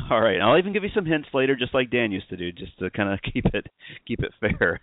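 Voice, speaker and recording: A man's voice: mid-range voice, big pitch swings, brisk, dry recording, phone-quality sound. A man hosting a podcast, chatting casually about sports in a jokey, talkative way. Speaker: podcast host.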